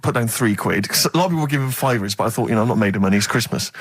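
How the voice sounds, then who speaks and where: English man, radio co-host, rapid talker, animated pitch, self-deprecating anecdotes, casual banter. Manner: mock-indignant, self-deprecating storytelling. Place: radio studio.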